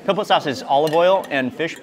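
A man in his thirties excitedly narrating a seafood meal for a food vlog, savoring each bite with hums and exclamations, recorded on a small clip mic in a restaurant. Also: fairly high pitch, fairly quick. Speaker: food vlogger. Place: restaurant dining room and outdoor grill. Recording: busy restaurant crowd behind. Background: restaurant crowd chatter.

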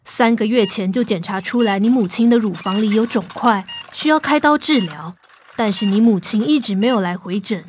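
A woman's voice: medium pitch, normal speed, slight background noise, natural voice. A woman acting a formal, matter-of-fact hospital medic in a radio drama. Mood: serious. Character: businesslike female hospital medic explaining a diagnosis.

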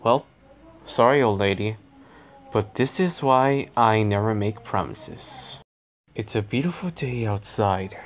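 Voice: mid-range voice, slow, natural voice. A performer voicing a lazy, playful cartoon fox big brother, with a drawling, theatrical delivery. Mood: calm menace. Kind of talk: character voice lines, acted.

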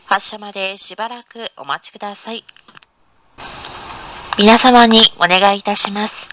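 A woman's voice: medium pitch, steady tone, measured pace. A woman reading a scripted in-car train announcement in a formal, polite manner. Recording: noisy train ambience recording.

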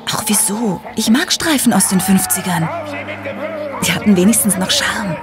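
A woman's voice: medium pitch, normal speed, music in the background, lightly acted. An actress playing a cheerful, easygoing young woman at the cinema in a horror audio drama.

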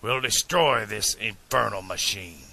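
A man's voice: fairly low pitch, animated, a little slow, creaky, pushed and bright, full character voice. A man voicing an eccentric, stubborn, passionate older inventor in an audiobook, speaking in a formal, declamatory way. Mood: grave, resolved. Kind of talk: audiobook character dialogue.

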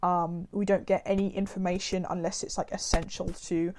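A woman giving a casual spoken review, her voice slightly raspy from a cold, rambling with many fillers and restarts. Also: on the low side, little pitch movement, normal speed, darkish tone, slight background noise. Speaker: video reviewer.

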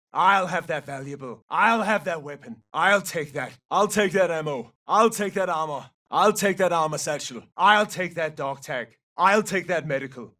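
An actor playing a fierce Norse mercenary soldier in a military shooter game, giving terse, commanding, urgent callouts. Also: male voice, sits high, pushed and bright, recorded from a distance, heavily acted. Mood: low, steady. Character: gruff Norse mercenary soldier, fierce, battle-hungry hunter. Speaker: video game operator calling out battlefield information. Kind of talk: military shooter game voice lines, short tactical barks.

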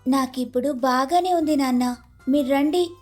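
A woman's voice: high-pitched, moderate pace, faint music behind, natural voice. A woman voicing a scheming, complaining young daughter-in-law with a dramatic manner in a family moral story. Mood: coaxing. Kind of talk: moral-story cartoon dialogue.

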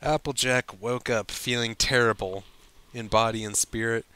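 A man reading fan fiction aloud as a storybook narrator, with some halting stumbles over the lines. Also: medium pitch, fairly even intonation, sharp tone, clear, resonant tone.

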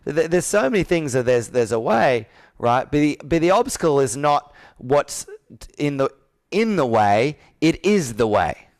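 A man's voice: fairly high pitch, big pitch swings, measured pace, no echo, clean studio sound. A man in his thirties coaching on a sales call, telling personal stories earnestly and asking persuasive rhetorical questions. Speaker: business coach. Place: indoor room with whiteboard, video call.

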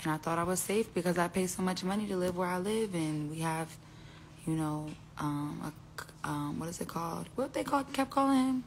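A woman's voice: lowish voice, expressive, normal speed, a little hiss or hum. A young woman talking casually into her phone on a live stream at home, rambling and trailing off as she speaks. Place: indoors at home, phone selfie. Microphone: phone held close.

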